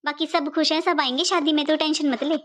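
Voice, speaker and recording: A woman's voice: sits high, fairly even intonation, brisk, a bit bright. A woman playing a middle-aged mother-in-law in a cartoon family drama, a fretful matriarch who talks in a rambling, repetitive, motherly way. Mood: reassuring.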